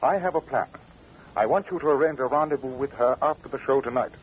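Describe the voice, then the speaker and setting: An actor playing a shrewd, blunt English gentleman detective, precise and formal, in 1940s radio drama style. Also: medium pitch, quick pace, natural voice. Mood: commanding. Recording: dull, noisy old radio recording.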